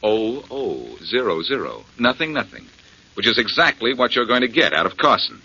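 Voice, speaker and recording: A man's voice: medium pitch, very animated, normal speed, bright, forceful tone, breathy edge, exaggerated voice. A man playing a self-assured, sardonic amateur detective in 1940s radio drama style. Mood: wry, needling. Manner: glib, sardonic, needling. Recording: muffled, hissy 1940s radio broadcast.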